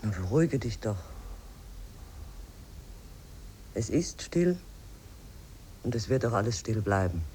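A brisk, callous bourgeois wife. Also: female voice, extremely low pitch, big pitch swings, mellow. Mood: dismissive, soothing. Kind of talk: 1950s German radio play acting. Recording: old radio recording, faint noise.

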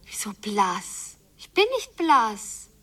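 An actress playing a wounded, secretive young daughter who longs and seethes in a radio drama, often hushed and drawing out her phrases. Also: medium pitch, sing-song, slow pace, exaggerated voice. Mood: defiant denial. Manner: hushed, echoing repeated phrases, drawn out. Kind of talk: literary radio drama, whispered inner monologue.

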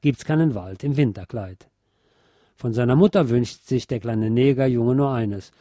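A man reading a scripted documentary narration in a measured, articulate way. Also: mid-range voice, mellow, no room sound, clean studio sound.

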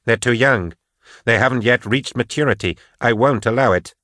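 A fiery young woman of an audiobook story, played by a male narrator. The pitch is lifted well above his narrating voice, and the delivery is forceful, sharp and defiant. The sound is close and dry. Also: moderate pace, dry recording, close to an everyday voice. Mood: indignant. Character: defiant, hot-tempered young adult woman. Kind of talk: audiobook character acting.

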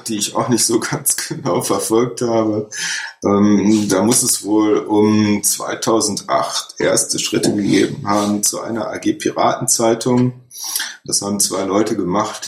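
A man's voice: lowish voice, a little hiss or hum. A man in his forties, a newspaper editor, explaining things in conversation with frequent hesitations. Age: 40s. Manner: hesitant, many fillers. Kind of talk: casual conversation. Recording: roomy echo.

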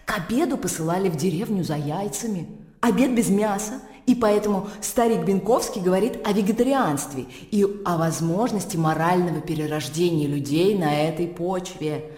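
An actress playing a worldly, ironic young widow in a radio drama, animated and articulate, with a teasing manner. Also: female voice, on the low side, normal speed, close to an everyday voice. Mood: scornful, ironic. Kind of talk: Russian classic radio drama acting. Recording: very echoey hall-like reverb.

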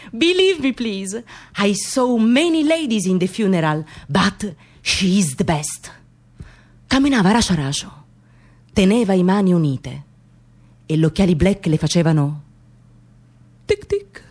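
An actress playing an excitable, gossipy immigrant woman with a Sicilian accent, telling a story in a theatrical radio monologue that mixes dialect with broken English. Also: mid-range voice.

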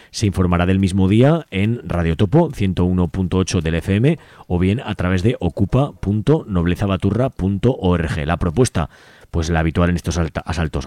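A man's voice: low-pitched, darkish tone, dry recording. A man from Spain, reading out events as a radio co-host in an informal, wry, casual way.

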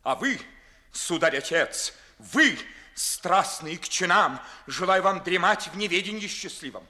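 An actor playing a proud, wounded, bitterly disillusioned young gentleman in a 1950s audio play, declaiming verse theatrically. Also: high voice, lively intonation, unhurried, full character voice. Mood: bitter scorn.

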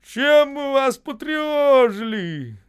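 A man voicing a hot-tempered workman in a story, yelling out short accusing questions. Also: very high-pitched, very animated, takes it slowly, pitched above the performer's own voice, full character voice. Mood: outraged.

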